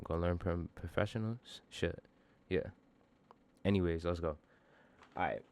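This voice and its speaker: A man with a bassy voice giving a casual, slangy music production tutorial, informal and self-deprecating, with some swearing. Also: a little slow, a little mellow.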